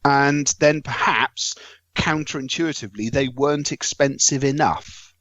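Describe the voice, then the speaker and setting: A man's voice: mid-range voice, a little slow, a bit bright, no echo, muffled recording. Wordy, wry British man, dry close sound. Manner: wordy, wry, formal phrasing. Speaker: podcast reviewer. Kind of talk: podcast discussion of home tech.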